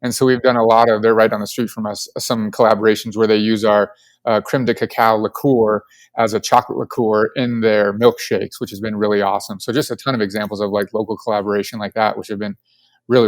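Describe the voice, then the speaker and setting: Low-voiced, enthusiastic man. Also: little pitch movement, fast talker. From Colorado. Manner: enthusiastic, filler-heavy with lots of "like". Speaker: distillery co-founder, guest. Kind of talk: casual podcast interview.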